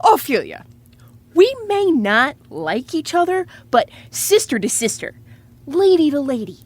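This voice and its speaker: A woman playing a brash, blunt young college friend in an audio romantic comedy, slangy and excitable. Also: high voice, measured pace. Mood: incredulous. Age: young adult.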